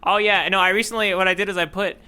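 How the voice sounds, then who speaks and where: Crisp, bright-voiced man chatting on a podcast. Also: sits high, steady tone, fast. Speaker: podcast co-host. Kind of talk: casual podcast chat. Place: remote online podcast recording.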